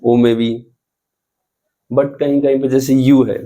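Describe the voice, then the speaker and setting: A man teaching a grammar lesson, explaining rules slowly and spelling out examples, often asking the students questions. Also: mid-range voice, little pitch movement, dark tone. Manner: slow, deliberate, repeats and spells out examples.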